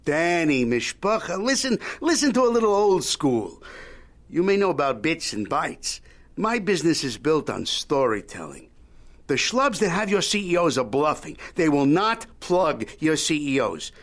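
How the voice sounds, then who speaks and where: Wry old mogul, swooping delivery. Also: male voice, a little high, big pitch swings, moderate pace, fairly bright tone. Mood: coaxing, sly. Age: older. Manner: wry, needling, Yiddish-peppered wheeling and dealing. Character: shrewd, folksy old media mogul, haggling showman. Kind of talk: audio drama acting.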